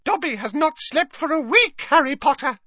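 A man voicing a small, excitable, devoted male house elf with a squeaky, earnest voice in an audiobook. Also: normal speed, no echo, clean studio sound, exaggerated voice. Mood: proud, eager. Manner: speaks of himself in the third person, earnest.

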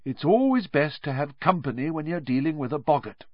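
A man voicing a cheerful, thoughtful teacher of magic in an audiobook, with a deliberate, encouraging manner. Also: a little high, expressive, dry recording, muffled recording, pitched above the performer's own voice. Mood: brisk, instructive. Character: cheerful, reassuring, thoughtful male schoolteacher of magic. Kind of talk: audiobook character reading.

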